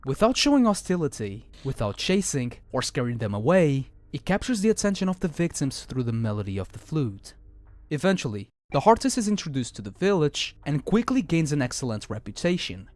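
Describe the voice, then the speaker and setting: A man's voice: a little high, big pitch swings, fairly quick, a little mellow, no room sound. A man reading a scripted video essay on a horror series, with a dramatic storytelling delivery.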